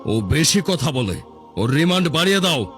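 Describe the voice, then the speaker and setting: A man's voice: on the high side, sing-song, moderate pace, music in the background. A man playing a cruel, boastful tyrant leader in a cartoon, commanding and sneering. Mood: angry, sneering. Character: arrogant, cruel tyrant leader gloating over his enemies. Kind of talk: dubbed cartoon villain dialogue.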